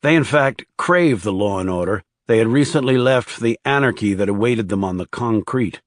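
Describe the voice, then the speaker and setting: A man's voice: lowish voice, normal speed, no echo, studio quality. A man reading scripted thriller narration as an audiobook narrator.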